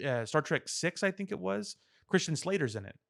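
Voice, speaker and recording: A man co-hosting a podcast, talking pop culture casually in a measured, explanatory way with dry understatement. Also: a little high, big pitch swings, gravelly, fairly bright tone. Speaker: podcast co-host. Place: podcast studio.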